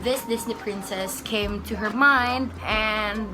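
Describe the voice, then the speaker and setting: A woman's voice: mid-range voice, slow. A young Filipino woman with a Filipino accent chatting casually through her first makeup tutorial at home, rambling and correcting herself as she goes. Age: young adult. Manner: rambling, self-correcting, giggly asides. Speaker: beauty vlogger. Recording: background music playing.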